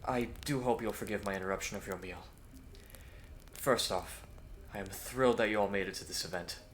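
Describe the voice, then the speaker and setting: A man playing a courteous, earnest young Victorian gentleman hero in a scripted audio roleplay, with formal, hesitant phrasing. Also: lowish voice, a little fast, slight background noise, close to an everyday voice. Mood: courteous, solemn.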